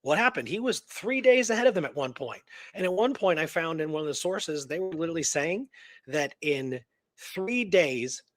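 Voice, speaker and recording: A man's voice: on the high side, expressive, moderate pace, no echo, clean studio sound. A man hosting a talk show, telling a historical story in a casual, colloquial, earnest and engrossed way. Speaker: radio show host telling a true story.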